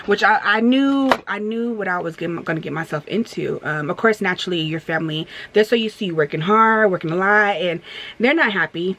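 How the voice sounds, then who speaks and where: A woman's voice: fairly low pitch, a bit bright. A woman in her thirties chatting candidly in a storytime vlog, rambling with many fillers and restarts and turning emphatic as she vents. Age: 30s. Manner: emphatic when venting. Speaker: vlogger. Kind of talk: casual storytime chat while getting ready.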